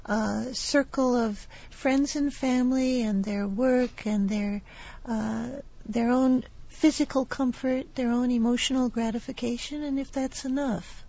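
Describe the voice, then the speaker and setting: A woman's voice: a little high, fairly even intonation, a little slow, dark tone. A woman speaking as a guest on a discussion panel, thoughtful and questioning. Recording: dull lo-fi broadcast recording.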